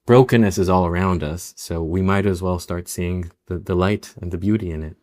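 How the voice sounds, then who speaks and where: A young man from Israel, a native Hebrew speaker, talking reflectively as a novelist guest in a podcast interview, with many restarts and pauses. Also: deep voice, a little mellow.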